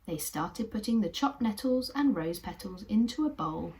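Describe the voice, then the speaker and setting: A young English woman hosting a children's puppet show, telling a story in a gentle, encouraging way. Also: medium pitch, animated, normal speed. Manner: gentle, encouraging, sometimes whispery narration.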